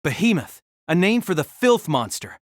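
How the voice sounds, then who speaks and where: A man's voice: on the high side, sing-song, moderate pace, no room sound, studio quality. A man narrating a fantasy novel as a dramatic, scripted audio drama.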